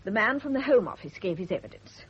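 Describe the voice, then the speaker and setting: An actress playing an officious, self-righteous, easily aggrieved nurse in a vintage radio drama. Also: female voice, medium pitch, sing-song, fast talker, breathy edge. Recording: dull, hissy vintage radio recording.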